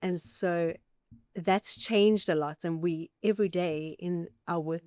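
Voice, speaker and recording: A woman's voice: fairly low pitch, fairly even intonation, takes it slowly, a little mellow, dry recording, studio quality. Woman, fluent and articulate. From South Africa. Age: 40s. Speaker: communications officer. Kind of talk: podcast conversation.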